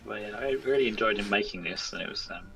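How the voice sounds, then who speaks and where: A man's voice: fairly low pitch, fast talker, vocal fry, fairly bright tone, low-quality recording. A man chatting casually as a guest game developer in an interview, modest and a little hesitant in his manner.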